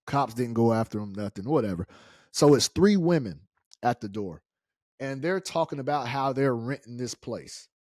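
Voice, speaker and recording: A man's voice: mid-range voice, animated, moderate pace, darkish tone, dry recording, studio quality. A middle-aged American man telling a personal story casually and colloquially, acting out the dialogue as he goes. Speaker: storyteller, show host.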